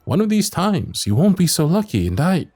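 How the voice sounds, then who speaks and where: A man's voice: on the high side, huge pitch swings, normal speed, darkish tone, full character voice. A man playing a loyal, protective royal knight in a fantasy ASMR roleplay, speaking in courtly phrases, both teasing and earnest. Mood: stern, pleading. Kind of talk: ASMR roleplay acting, fantasy audio drama.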